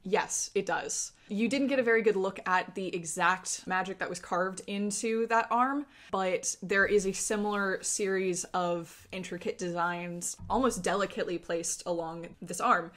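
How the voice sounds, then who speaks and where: A woman runs a tabletop roleplaying game as its game master. She sets out each scene descriptively and in measured phrases, in a mid-pitched voice. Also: fairly bright tone.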